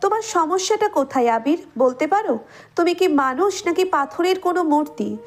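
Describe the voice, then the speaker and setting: A woman's voice: very high voice, expressive, moderate pace. A woman voicing an impassioned, reproachful young bride in love in a romantic audio drama. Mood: hurt, angry.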